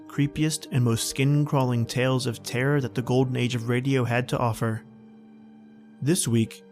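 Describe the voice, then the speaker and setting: A man hosting a horror radio podcast, reading a scripted introduction in a theatrical, deliberate manner. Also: mid-range voice. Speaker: podcast host. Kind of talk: scripted spooky show introduction.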